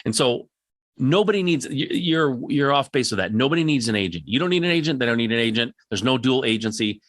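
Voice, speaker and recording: Forceful, emphatic man coaching. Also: mid-range voice, expressive, fast talker, no room sound. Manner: emphatic, insistent, repeats points; direct, plain-spoken. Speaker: podcast host answering audience questions. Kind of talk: live Q&A coaching.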